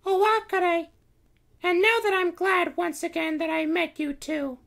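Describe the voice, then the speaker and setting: A man voicing a gentle, wistful magical cat princess in a high falsetto, with a distant sound. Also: fairly even intonation, unhurried, gravelly, full character voice.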